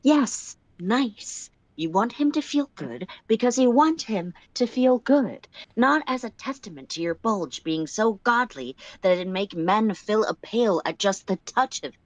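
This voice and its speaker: A woman voicing a power-hungry living crown that invades minds, speaking in a disdainful, hissing, drawn-out way. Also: mid-range voice, animated, no echo, rough recording. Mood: sneering, exasperated.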